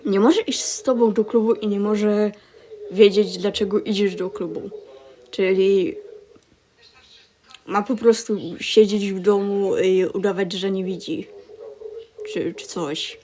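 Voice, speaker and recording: Mellow-voiced woman reacting wryly. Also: medium pitch, steady tone, unhurried, pauses often. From Poland. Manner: chatty, wry and incredulous, laughs while telling stories. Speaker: VTuber streamer. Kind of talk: casual reaction commentary.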